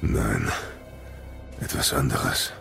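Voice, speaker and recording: An actor playing a stern, huge warrior god and father in an audio drama, speaking in terse, gruff commands. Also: a bass voice, expressive, drawn-out words, full character voice. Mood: grim, wary. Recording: loud music behind the voice.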